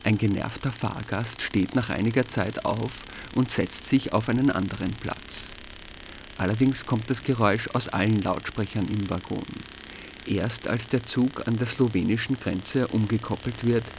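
A man from Austria reads a scripted first-person audio diary as narrator, in a measured, dryly wry way. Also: fairly low pitch, creaky, background noise.

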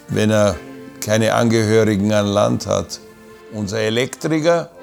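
A man's voice: fairly low pitch, vocal fry, darkish tone. An actor playing a weathered, easygoing veteran seaman who tells anecdotes in a colloquial, rambling way in a radio play. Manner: colloquial, rambling, matter-of-fact. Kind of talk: German radio play acting, conversational anecdotes.

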